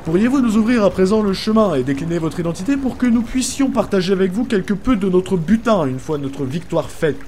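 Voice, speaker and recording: A man's voice: high-pitched, animated, brisk, hardly any pauses, warm tone, a little hiss or hum. A man playing an earnest, pious cult follower on a quest in a comedic audio serial, speaking in formal, flowery phrases. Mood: grandiloquent. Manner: pompous, formal, flowery speech.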